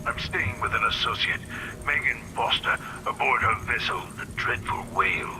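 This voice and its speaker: A man's voice: medium pitch, animated, moderate pace, music in the background, natural voice, rough recording. An older man playing a grave, loyal old inventor speaking formally in a video game. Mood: ominous, regretful. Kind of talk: dramatic game acting, letter-like monologue.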